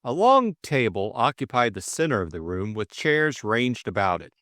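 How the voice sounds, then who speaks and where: A man's voice: mid-range voice, very animated, normal speed, fairly bright tone, no echo, studio quality. A man narrating a classic mystery novel as a scripted audiobook reading.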